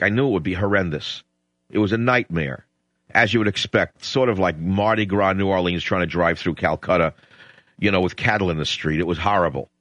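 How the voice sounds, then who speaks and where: A man's voice: deep voice, fairly bright tone, no room sound. Sardonic, rambling radio talk-show man. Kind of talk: opinionated radio monologue and call-in chat. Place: radio studio. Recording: dull lo-fi radio broadcast.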